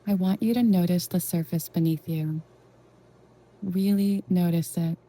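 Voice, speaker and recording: A woman leading a guided meditation in a gentle, reassuring, unhurried way with long pauses. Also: on the low side, steady tone. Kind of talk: soothing guided meditation narration.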